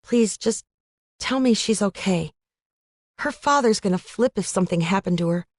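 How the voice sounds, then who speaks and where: An anxious young college girl, pleading and raised in pitch over her normally low voice, as a woman narrator puts her on. Close, dry audiobook sound. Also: normal speed, no room sound, close to an everyday voice. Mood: worried. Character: worried young female college roommate.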